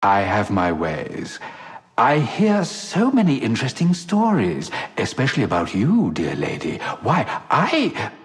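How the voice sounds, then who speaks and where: An actor playing a suave, cowardly gentleman rogue in a video game, glib, florid and theatrically polite. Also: mid-range voice, huge pitch swings, unhurried. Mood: coy, glib. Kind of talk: video game character acting. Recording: long hall reverb.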